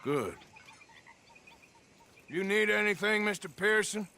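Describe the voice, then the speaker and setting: An actor playing a gruff, blunt middle-aged outlaw in a video game cutscene, sarcastic and plain-spoken. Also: male voice, high-pitched, slow, bright, forceful tone, exaggerated voice. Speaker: outlaw gang member.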